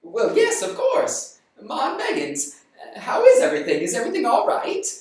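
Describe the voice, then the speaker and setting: An elderly mother stammers in panic in a voice raised well above the teller's own, as a storyteller acts her out. Her pitch swings widely. The sound comes from far off in a very echoey room. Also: wildly swooping pitch, normal speed, a little mellow. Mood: anxious. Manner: stammering, frantic. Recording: roomy, distant mic.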